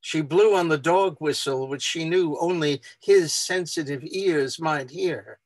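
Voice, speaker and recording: A man's voice: on the high side, a little slow, dry recording. A man reading a short story aloud as scripted, storybook-style narration.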